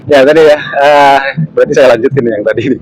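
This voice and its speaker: A man's voice: medium pitch, fast talker, darkish tone, slightly rough, soft background music. A man giving a casual Islamic study talk, explaining step by step and ending phrases with small tag words. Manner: explanatory, repeats "ya" and "gitu" tags. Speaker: ustaz answering audience question. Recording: lo-fi with noticeable noise.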